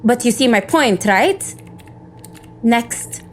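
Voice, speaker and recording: An actress playing an irritable, sarcastic cybersecurity operative in an audio drama, with a dry, cynical way of talking. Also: female voice, fairly high pitch, animated, slow pace, a little hiss or hum. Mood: exasperated.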